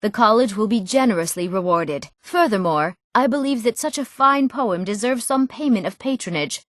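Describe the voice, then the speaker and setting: A woman's voice: a little high, lively intonation, moderate pace, a bit bright, no room sound, studio quality. An earnest young fantasy townswoman. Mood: formal, regal. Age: young adult. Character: eager young townswomen and nobles of a fantasy realm. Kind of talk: scripted fantasy game character dialogue.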